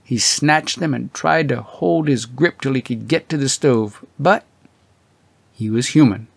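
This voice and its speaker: A man reading literary fiction as an audiobook narrator in a measured, scripted style. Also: mid-range voice, creaky, slightly rough, dry recording.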